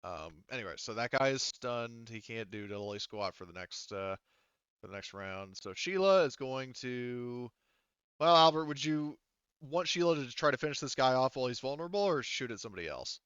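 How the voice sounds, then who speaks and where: A man's voice: mid-range voice, lively intonation, moderate pace, fairly bright tone, clear, resonant tone, no echo, lo-fi sound. A man speaking informally as a game master, narrating play and calling rules, with some swearing. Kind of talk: casual tabletop game narration and rules calls.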